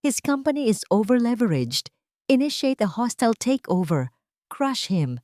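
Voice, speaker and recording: A woman's voice: fairly high pitch, very animated, moderate pace, warm tone, no echo, pitched above the performer's own voice. Female narrator as ruthless billionaire boss, curt, commanding, dry storybook read. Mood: cold, commanding. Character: cold, ruthless male billionaire CEO. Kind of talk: storybook character dialogue.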